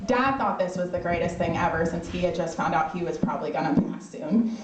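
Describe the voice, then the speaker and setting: A young woman speaks through a microphone at an open-air pavilion, keeping her words light and laughing between lines. The sound is echoey and distant, with loud background noise. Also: on the low side, a little fast. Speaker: eulogist, daughter of the deceased. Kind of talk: informal memorial speech. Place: outdoor pavilion. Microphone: microphone through loudspeaker. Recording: echoey, distant, noisy outdoor recording.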